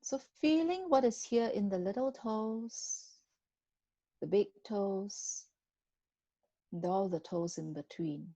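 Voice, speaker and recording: A woman guiding a body scan meditation in a gentle, unhurried manner with long pauses between phrases. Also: medium pitch, no room sound, low-quality recording. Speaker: meditation guide.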